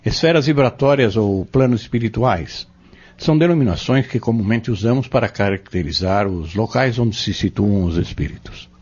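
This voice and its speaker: Low, husky man acting a patient spiritual teacher. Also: lively intonation, darkish tone. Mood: earnest, explanatory. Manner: didactic, measured, explains step by step. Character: patient, earnest male Spiritist teacher explaining doctrine. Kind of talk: scripted audio drama dialogue. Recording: dull lo-fi audio.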